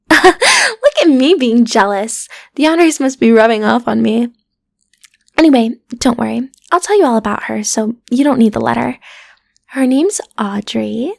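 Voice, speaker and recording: A woman's voice: on the high side, creaky, dry recording, heavily acted. A woman playing a frazzled, sardonic agency matchmaker in a comedic audio roleplay, chatty and full of flippant, muttered asides to the listener.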